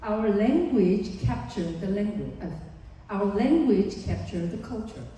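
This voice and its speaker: Korean-accented lady psychologist talking slow and soft-toned to parents, far off in a big echoey hall. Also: mid-range voice, very animated. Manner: earnest, slow and explanatory, acts out examples. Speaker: psychologist giving a parenting seminar. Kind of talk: seminar talk to parents. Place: large conference hall.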